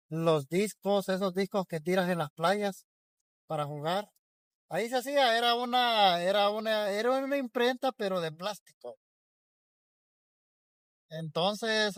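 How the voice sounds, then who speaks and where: High-voiced Salvadoran man telling a story. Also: fairly bright tone, clear, resonant tone, no echo, clean studio sound. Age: 40s. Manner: loose and conversational, with frequent restarts. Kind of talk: casual personal storytelling.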